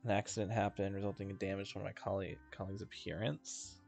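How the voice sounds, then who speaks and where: A man with a low, creaky voice gives casual video game commentary over game music. He mumbles asides to himself and reads dialogue aloud, stumbling over words as he goes. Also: level tone, quick pace, darkish tone.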